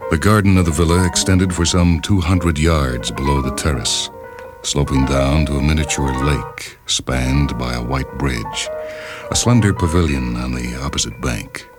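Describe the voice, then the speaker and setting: A man reading a literary short story as a radio host, in a measured, deliberate and formal manner, on a 1970s radio recording. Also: a bass voice. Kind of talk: scripted literary narration. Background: music bed behind the reading.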